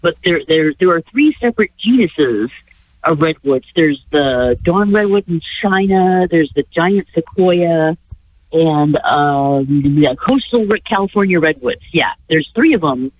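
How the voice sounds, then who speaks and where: A woman co-hosting a radio chat with a stuffy nose from a cold, rambling with halting restarts and frequent chuckles. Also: low voice, expressive. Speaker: radio show co-host. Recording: tinny phone line.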